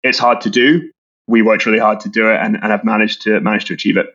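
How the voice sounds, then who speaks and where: A Scottish man, a brand co-founder, talking quickly in a casual business interview and often repeating words as he restarts. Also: on the low side, fairly even intonation, fairly bright tone, highs cut off. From Scotland.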